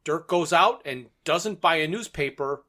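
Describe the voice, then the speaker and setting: Bright, higher-voiced man chatting as podcast co-host. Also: measured pace. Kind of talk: casual discussion and retelling of a radio drama.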